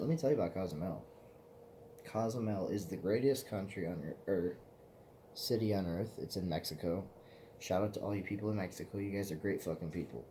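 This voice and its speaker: A man's voice: fairly low pitch, mellow, slight background noise. A man in his twenties, hosting his own vlog in a loose, profane, meandering casual chat. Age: 20s. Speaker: vlog host.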